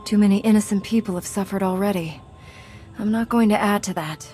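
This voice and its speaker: Lady playing a heroic jedi knight type, calm n firm game dialogue, medium pitch, some music behind. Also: natural voice. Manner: measured, resolute. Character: steadfast, self-reliant heroic female Jedi knight. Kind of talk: video game cutscene acting.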